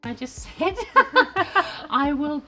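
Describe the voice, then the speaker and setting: Woman, 30s, chatty, giggly, higher pitch, faint music behind. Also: big pitch swings, very slow, sharp tone. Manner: chatty, giggles between phrases. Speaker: interviewee. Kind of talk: casual interview answers.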